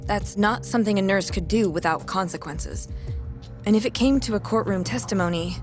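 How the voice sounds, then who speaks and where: A woman's voice: medium pitch, a little fast, natural voice. Woman acting earnest, guarded nurse, music underneath. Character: earnest, guarded female nurse with nerve, young adult. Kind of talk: English-dubbed video game drama acting.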